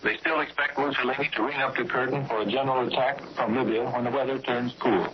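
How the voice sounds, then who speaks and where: A man working as a radio correspondent, giving a scripted 1940s news report with a measured storytelling delivery over a shortwave broadcast. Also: medium pitch, steady tone, highs cut off, a crowd in the background.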